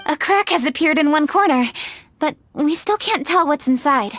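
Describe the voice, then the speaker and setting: A woman's voice: sits high, animated, moderate pace, fairly bright tone. An actress playing a diligent, businesslike young woman official in a video game, articulate, earnest and matter-of-fact. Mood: composed, thoughtful. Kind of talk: scripted video game dialogue.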